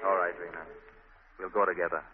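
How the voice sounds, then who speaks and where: A man's voice: low-pitched, level tone, quick pace, lightly acted. An actor playing an earnest, resolute young neighborhood man in a 1940s radio drama, firm and determined, with an American accent. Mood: determined, reassuring. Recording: tinny, band-limited 1940s radio recording.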